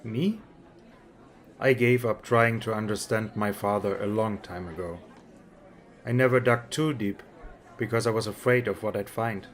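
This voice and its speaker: A man's voice: lowish voice, unhurried, long pauses. A man playing a weary, resigned middle-aged father in an amateur audiobook drama, speaking frankly in a casual, subdued way. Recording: background crowd chatter.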